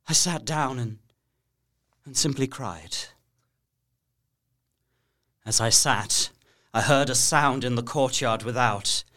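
A man's voice: on the high side, pushed and bright, exaggerated voice. An actor playing a distressed young captive narrating his journal in an audio drama, earnest, intense and formal. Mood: fearful. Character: distressed young Englishman imprisoned in a castle, journaling.

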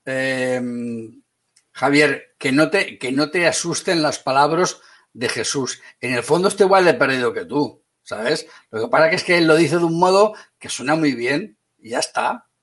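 A man from Spain with a Spanish accent teaching an online class, brisk and teasing, calling on students by name. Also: on the high side, lively intonation, fairly bright tone.

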